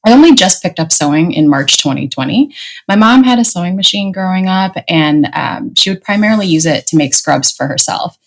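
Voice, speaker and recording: Young woman podcast co-host from the Chicago area, relaxed and chatty, lower pitch. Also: fairly quick. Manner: warm and chatty, light laughs and fillers. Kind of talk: casual podcast chat.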